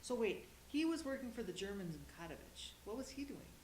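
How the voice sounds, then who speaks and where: A woman's voice: on the high side, animated, brisk, darkish tone, reverberant, a little hiss or hum. A woman interviewing an older relative, stopping her to check and clarify details. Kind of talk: family interview.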